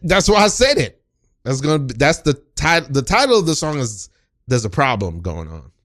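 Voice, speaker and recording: A man's voice: mid-range voice, sing-song, normal speed, a bit bright. A man improvising a comedy sketch as an aggrieved, self-important rapper, stammering and repeating himself pompously. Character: aggrieved, self-important male rapper, easily offended, stubborn. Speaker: guest comedian.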